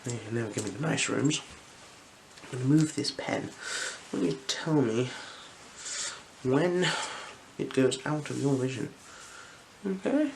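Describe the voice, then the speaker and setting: A person with a slight cold role-playing an eye examiner in a gentle, unhurried ASMR style, half whispering and murmuring little hums between short phrases, close to the microphone. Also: medium pitch, expressive, creaky, a little hiss or hum, poor audio. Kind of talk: ASMR roleplay, soft-spoken and whispered.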